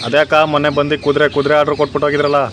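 A man's voice: on the high side, monotone, very fast. A man with a rural southern Karnataka Kannada accent making a prank phone call, casual and insistent, pestering with feigned earnestness. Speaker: prank caller. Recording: noisy phone call recording.

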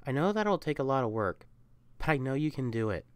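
A woman's voice: rumbling low, big pitch swings, a little fast, darkish tone, clear, resonant tone, no echo. A woman voicing an affectionate, protective young catperson comforting a lover in a roleplay audio, tender, coaxing and emphatic. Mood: playful, affectionate.

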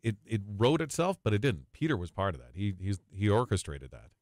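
A man's voice: on the low side, sing-song, a little fast, darkish tone, no echo, studio quality. A man, a guest who works as an arena announcer, talking in an effusive, emphatic way.

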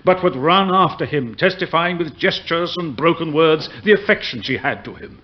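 Man playing a high-pitched, earnest prosecutor. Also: animated, close to an everyday voice. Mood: grave, narrating. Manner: formal, measured storytelling. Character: earnest, formal prosecuting attorney in old English court. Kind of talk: period radio play acting. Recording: muffled radio drama recording.

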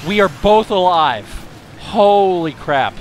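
A man's voice: high-pitched, animated, takes it slowly, a little hiss or hum, poor audio. A young man casually commenting on a co-op game as a let's play host, upbeat and chatty, thinking aloud while he plays. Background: game music and sound effects.

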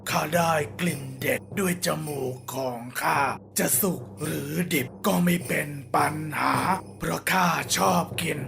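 A man's voice: a little high, a little slow, creaky, hardly any pauses, over music. A man playing a boastful, hungry male giant who chants menacingly in a children's storybook tale.

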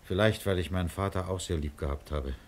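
An actor playing a calm, fatherly middle-aged police commissioner in a German radio crime drama, patient and persuasive as he coaxes and probes. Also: deep voice, fast, echoey room, natural voice. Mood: gentle, paternal.

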